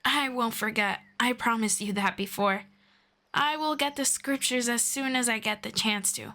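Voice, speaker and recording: A woman voicing a gentle, patient female elf mentor in an ASMR roleplay, speaking softly and slowly and slipping into whispers. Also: fairly high pitch. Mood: gentle, soothing. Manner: soft-spoken, slow and patient, drifting into whispers. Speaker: voice actor.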